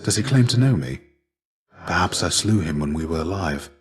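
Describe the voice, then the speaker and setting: A man voicing the shade of a legendary warrior in a video game, a gentle mentor who speaks in measured, formal, old-fashioned phrases. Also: sits low, animated. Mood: calm, advisory. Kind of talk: scripted game dialogue.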